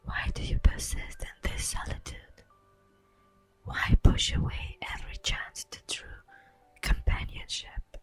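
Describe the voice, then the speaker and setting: A woman playing an ancient, wistful immortal elf in a slow, breathy whispered ASMR roleplay monologue. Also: natural voice. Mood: pleading. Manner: slow, theatrical, lingering pauses. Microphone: close microphone. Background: faint music.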